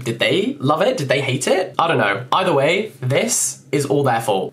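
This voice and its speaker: A young man in his twenties giving an animated, jokey book review vlog, filmed at home and on a night street. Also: mid-range voice, slight background noise. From England. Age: 20s. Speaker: book vlogger. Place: bedroom, indoors; also night street outdoors.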